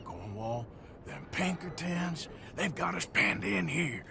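Tired blunt outlaw, slow drawl, voice swings up and down a lot. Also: male voice, on the high side, big pitch swings, noisy background, exaggerated voice. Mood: worried. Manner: gruff, blunt, slow-spoken. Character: weary gruff outlaw enforcer, middle-aged. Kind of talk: video game cutscene acting.

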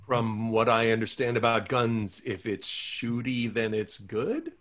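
A man's voice: mid-range voice, lively intonation, unhurried, fairly bright tone. A man reading a meek, goofy boyfriend in a comedy table read. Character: timid goofy man on a date.

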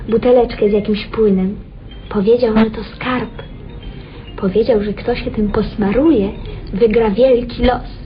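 A woman's voice: fairly high pitch, steady tone, a little slow, lightly acted. A woman acting in a vintage radio play as a good-natured, naive waitress, talking in a casual, gossipy way. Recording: dull old radio recording with music.

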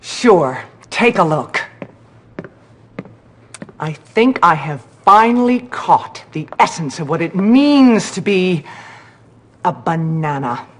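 Casting: affected, lovestruck art teacher for a game, unhurried, sweeping intonation. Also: female voice, lowish voice, very animated, heavily acted. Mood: dreamy. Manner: breezy, affected, theatrical. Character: dreamy, flirtatious, lovestruck woman art teacher. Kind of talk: video game cutscene acting.

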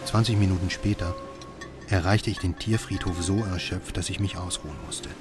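A deep-voiced, exhausted father played by an actor tells his own horror story in a radio drama. He sounds brooding and haunted, measuring out each line over clearly audible music. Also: fairly even intonation, normal speed, natural voice. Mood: grim, subdued. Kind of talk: scripted first-person radio play narration.

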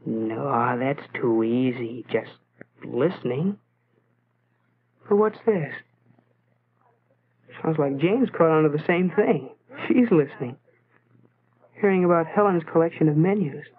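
An actor playing a lonely, self-doubting teenage schoolboy, musing to himself in a brooding way in a 1940s educational film style, on a tinny, noisy soundtrack. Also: male voice, on the high side, takes it slowly, pauses often, husky. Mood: pondering. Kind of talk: 1940s educational film inner-monologue narration.

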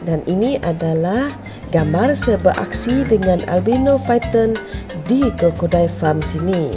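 A woman with a Malaysian accent narrating a vlog for children in a deliberate, simply explanatory manner. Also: medium pitch, lively intonation, over loud music. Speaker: vlogger and narrator. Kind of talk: voice-over narration of a wildlife park visit for children.

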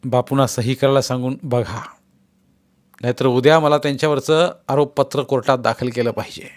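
A man's voice: mid-range voice, fairly even intonation, moderate pace, dry recording, studio quality, natural voice. A man voicing a sly official who pressures a family into a signature. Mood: pressing. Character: scheming man holding a prisoner.

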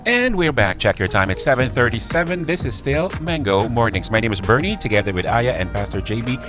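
A man's voice: mid-range voice, expressive, fairly quick. A man hosting a morning radio show in a chatty, rambling way. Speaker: radio host. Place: small radio studio. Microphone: close studio microphone. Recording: music behind the voice.

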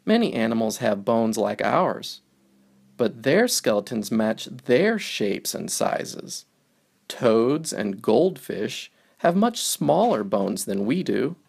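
A man narrating a picture book for young children, reading it aloud in simple, deliberate phrases. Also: medium pitch, sing-song, gravelly, darkish tone, husky.

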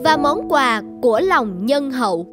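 High-voiced woman narrating a storybook. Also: big pitch swings, unhurried. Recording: music behind the voice.

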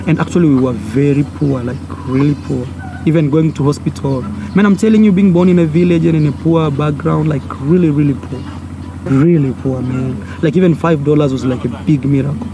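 Young Kenyan vlogger, Swahili native, animated storyteller with a fairly high voice, filmed outdoors with clear background noise. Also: male voice, brisk. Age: young adult. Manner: animated, acts out voices and gestures. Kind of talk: storytelling to camera. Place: outdoors by a brick wall. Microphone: phone or camera held close. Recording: outdoor noise, village sounds.

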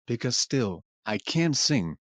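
Guy doing a cartoon crooner lamppost, mid pitch, easygoing but moaning, close dry audio. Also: big pitch swings, takes it slowly, no echo. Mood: downcast. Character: swinging crooner Christmas lamppost with a sore throat.

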